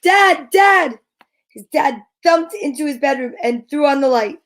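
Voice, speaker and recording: A woman reading a children's picture book aloud in a theatrical, suspenseful, drawn-out way. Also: high-pitched, darkish tone. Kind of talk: dramatic children's story read-aloud.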